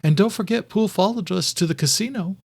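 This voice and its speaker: Audiobook role: man plays emotional, spirited young sister, voice lifted high, quick to snap back. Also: husky. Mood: earnest.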